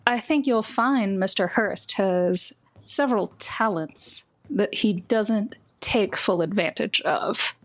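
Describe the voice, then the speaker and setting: A sultry, mysterious trench-coat lady played by a woman game master. She speaks low and slowly, poised and knowing, as a figure in a tabletop adventure. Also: lightly acted. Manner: slow, knowing delivery.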